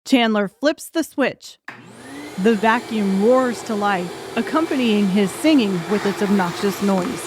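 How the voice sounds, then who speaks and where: A woman reading scripted narration for a dark thriller audiobook. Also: mid-range voice, unhurried, noisy background.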